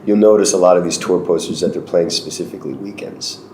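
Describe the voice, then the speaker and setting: A man's voice: low voice, fairly even intonation, fast talker, a little mellow. A man from Chicago, a band's guitarist and singer, talking casually and rambling, repeating words as he thinks. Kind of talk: casual interview chat. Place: noisy music venue. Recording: roomy venue with crowd noise.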